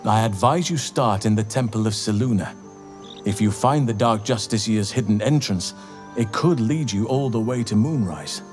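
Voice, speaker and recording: Man acting a low-voiced, solemn elf druid. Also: a little mellow, faint music behind, close to an everyday voice. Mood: earnest, advising. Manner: measured, solemn, earnest. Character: grave, earnest male elf druid warrior offering counsel. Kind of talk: video game dialogue acting.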